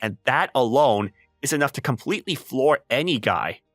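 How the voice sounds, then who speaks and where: A man playing a flustered, introverted high school boy and aspiring writer in an anime-style comic dub, animated and prone to exasperated lecturing. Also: moderate pace, faint music behind. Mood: confident lecturing.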